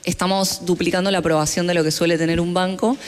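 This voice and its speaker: A woman's voice: fairly low pitch, steady tone, quick pace. A woman with a Latin American Spanish accent, answering interview questions in an earnest, explanatory, conversational way. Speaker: fintech founder, award-winning guest.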